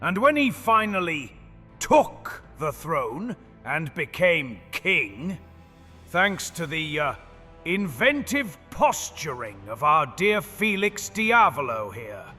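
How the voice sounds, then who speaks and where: A man's voice: on the high side, sing-song, takes it slowly, pushed and bright, soft background music, exaggerated voice. A man voicing a scheming male goblin who mocks and cackles, in an audio drama with long hall reverb. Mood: mocking. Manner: mocking, cackling.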